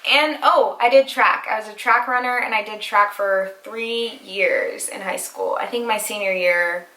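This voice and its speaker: Young american woman rambling on her vlog, bright voice, echoey room. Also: medium pitch, unhurried. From United States. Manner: chatty, rambling, laughs often. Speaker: vlogger. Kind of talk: casual chat with her husband.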